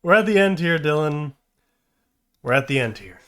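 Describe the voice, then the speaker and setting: Guy hosting a music podcast, voice going a bit hoarse, bouncy pitch, lots of likes and you knows. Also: animated, moderate pace, fairly bright tone. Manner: loose, filler-heavy, playful. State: losing his voice. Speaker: podcast host. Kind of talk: casual music review chat.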